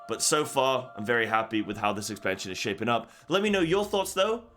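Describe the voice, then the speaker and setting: A man's voice: medium pitch, lively intonation, fairly quick, a bit bright. Man rambling casually over background music. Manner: rambling and repetitive, talking off the cuff. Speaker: gaming content creator and host. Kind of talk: casual reaction commentary on a game announcement.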